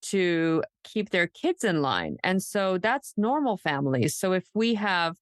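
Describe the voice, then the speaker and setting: A woman with a fairly low voice talks as a podcast co-host, in a dry, close recording. Her delivery is conversational and emphatic, speculating out loud and leaning on "like" as she goes. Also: big pitch swings, unhurried, dry recording. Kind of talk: casual true crime discussion.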